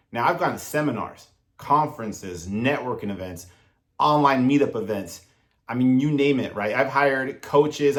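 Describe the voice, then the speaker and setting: Man in his thirties, a self-improvement vlogger with an earnest, direct coaching delivery and an average-pitched voice. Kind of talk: motivational advice monologue to camera.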